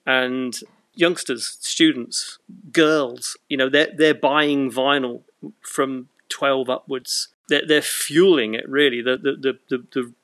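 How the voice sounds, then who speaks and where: A man's voice: medium pitch, steady tone, slow, a bit bright, no room sound. A British man in his fifties chatting casually as a podcast guest, telling anecdotes with wry, self-deprecating humour. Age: 50s. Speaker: podcast guest, record shop owner.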